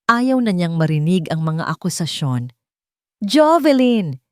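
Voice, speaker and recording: A Filipino-accented woman narrates a dramatic love story as a scripted audio tale. Her voice is low and mellow, and it sits dry in the recording with almost no room sound. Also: animated, normal speed, no echo.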